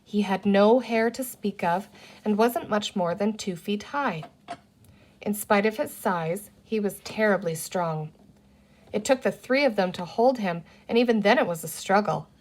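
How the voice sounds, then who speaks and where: A woman's voice: medium pitch, measured pace. A woman reading a children's book aloud as the narrator of the story. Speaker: storybook reader.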